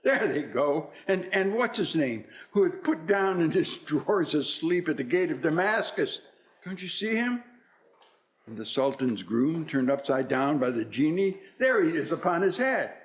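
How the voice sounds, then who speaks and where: A man playing an excitable, wistful old miser in a theatrical radio play, exclaiming and stammering when moved. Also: a little high, normal speed. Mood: wistful, stirred.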